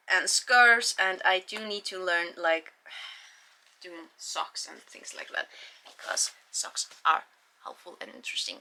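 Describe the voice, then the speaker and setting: Young Icelandic woman, book vlogger, bright, chatty, rambling delivery. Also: mid-range voice, vocal fry. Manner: rambling, chatty, with frequent sighs and ums. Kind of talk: casual book haul chat.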